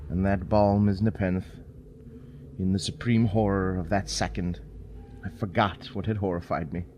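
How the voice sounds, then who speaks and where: A man reading a horror tale as narrator, solemn and theatrical, with drawn-out dramatic phrasing. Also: deep voice. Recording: clear background ambience noise.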